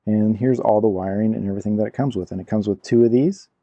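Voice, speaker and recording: A man's voice: fairly low pitch, fast, dark tone, no echo, lo-fi sound. A man giving a casual hands-on product review in an informal, explanatory way, repeating himself as he goes. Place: indoor room at a cluttered computer desk.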